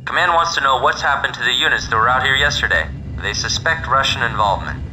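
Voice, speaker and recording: An actor playing a stern military commander giving urgent orders over the radio in a game. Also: male voice, mid-range voice, lively intonation, fast, close to an everyday voice. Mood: stern, businesslike. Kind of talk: scripted game mission radio dialogue. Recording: noisy radio transmission with vehicle hum.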